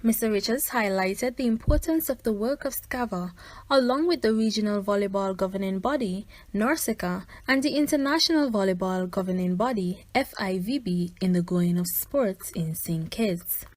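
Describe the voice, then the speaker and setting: A woman's voice: medium pitch, normal speed, dry recording. Woman reporting news, dry close sound. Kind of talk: scripted news narration.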